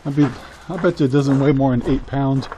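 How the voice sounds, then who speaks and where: A man's voice: mid-range voice, fairly even intonation, a little fast, mellow, a little hiss or hum. A man in his sixties casually talking to a handheld camera in his yard and greenhouse, rambling with lots of fillers. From United States. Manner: easygoing, rambling with many "uh" fillers. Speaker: homesteading vlogger. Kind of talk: casual how-to vlog. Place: outdoors in a yard and inside a greenhouse. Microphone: camera held at arm's length.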